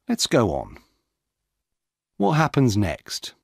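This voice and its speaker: Guy reading as a methodical cop in a story, husky n really slow, deliberate, very expressive. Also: mid-range voice, dry recording, studio quality, heavily acted. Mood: focused, questioning. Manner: deliberate, clipped, instructing. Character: earnest male police officer, methodical and decisive. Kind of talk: audiobook character dialogue.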